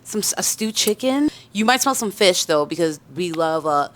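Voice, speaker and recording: Young female singer from Canada, French first language, relaxed interview chat, slightly low voice. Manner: chatty, laughs easily, quick bursts.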